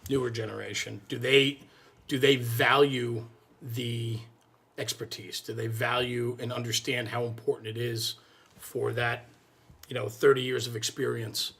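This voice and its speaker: Man, American accent, husky, forceful tone, medium pitch, deliberate casual interviewer. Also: flat delivery. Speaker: podcast co-host, fellow firefighter. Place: podcast studio, indoors.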